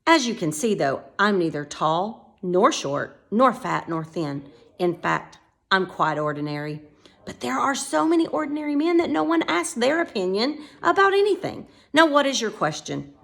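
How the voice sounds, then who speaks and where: A woman's voice: medium pitch, big pitch swings, normal speed, creaky, fairly bright tone. Woman doing a muddled, rambling little man. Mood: rambling, confiding. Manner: rambling, confused, cheerfully evasive.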